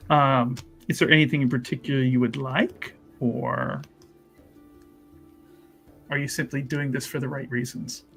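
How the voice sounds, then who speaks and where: A man's voice: medium pitch, little pitch movement, unhurried, creaky, long pauses, a bit bright, faint music behind. A man playing a scheming, self-important nobleman-like character in a tabletop game, with formal, silky persuasion. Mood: persuasive, scheming.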